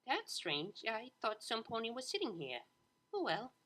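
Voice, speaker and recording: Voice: huge pitch swings, unhurried, pushed and bright, exaggerated voice. A narrator voicing a nervous, chatty young mare waitress in a story, anxious and rambling. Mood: evasive. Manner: anxious, rambling, high-strung.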